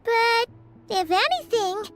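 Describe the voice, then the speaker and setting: Game companion: small childlike fairy girl, extremely high and lively, exclamatory, over music. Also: slow, exaggerated voice. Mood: curious. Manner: speaks of self in third person, exclaiming. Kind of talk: video game voice acting.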